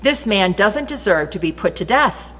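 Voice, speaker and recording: A woman's voice: mid-range voice, expressive, moderate pace, fairly bright tone. A woman reading the part of a male Roman governor reasoning and protesting before a crowd in a Bible story. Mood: firm, reasoning. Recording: long reverberant hall echo.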